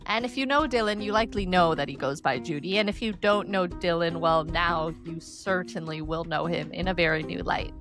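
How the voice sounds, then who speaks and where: A woman's voice: on the low side, fairly quick, few pauses, music in the background. Woman hosting a podcast. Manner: friendly, laughs often. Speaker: podcast host. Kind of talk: casual interview.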